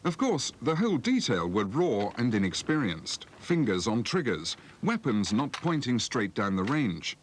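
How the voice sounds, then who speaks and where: British male narrator, measured and explanatory, animated intonation, faint noise of an old film soundtrack. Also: mid-range voice. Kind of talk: scripted instructional film narration. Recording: slightly noisy 1980s film soundtrack.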